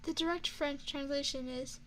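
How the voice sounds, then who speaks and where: Woman playing an elegant, high-voiced vampire chef. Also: fairly even intonation, fairly bright tone. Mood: gracious, attentive. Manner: formal, measured, refined, seductive hospitality. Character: elegant, courteous female vampire chef and restaurant owner. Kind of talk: ASMR roleplay monologue. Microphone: binaural microphone.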